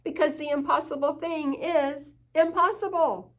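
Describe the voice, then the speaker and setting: A woman's voice: sits high, steady tone, unhurried, voice pushed up. A woman voicing a big, strong, boastful male bear in a storybook, drawing out his words dismissively.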